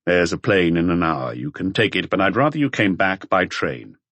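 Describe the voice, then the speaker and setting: A man voicing a calm, authoritative police chief inspector in an audiobook, terse and matter-of-fact. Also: low voice, moderate pace, dry recording, exaggerated voice. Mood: commanding.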